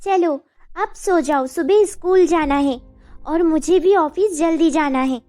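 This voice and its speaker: A woman playing an emotional, devoted young widowed mother in an animated story drama, with music behind her. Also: sits high, unhurried. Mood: gentle, affectionate. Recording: background music over the voice.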